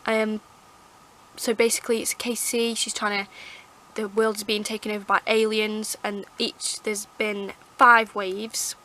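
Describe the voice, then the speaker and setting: A woman with a croaky, hoarse throat, rambling chattily as she reviews her favourite books to camera. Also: mid-range voice, level tone, unhurried, pushed and bright. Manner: rambling, chatty, lots of fillers and restarts. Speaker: book vlogger. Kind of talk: casual book review chat. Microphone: camera mic.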